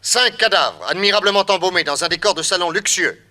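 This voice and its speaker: An actor playing a bold detective who addresses officials formally and with authority in a French radio drama. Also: male voice, high-pitched, lively intonation, brisk, pushed and bright, full character voice. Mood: commanding. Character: bold, commanding male detective.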